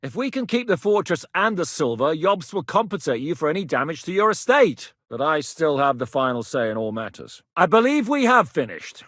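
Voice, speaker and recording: An actor playing a shrewd, resolute medieval rebel captain who speaks plainly and with authority in a video game. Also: male voice, on the high side, sing-song, normal speed, hardly any pauses, fairly bright tone, dry recording, studio quality. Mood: steady, commanding, then forceful, impatient. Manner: authoritative, decisive, plain-spoken. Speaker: military leader planning operations. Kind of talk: video game dialogue acting.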